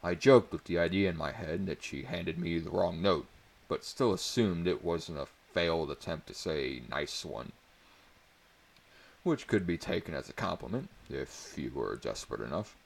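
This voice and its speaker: Deep, creaky male story narrator. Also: lively intonation, moderate pace. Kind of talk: dramatic first-person story reading.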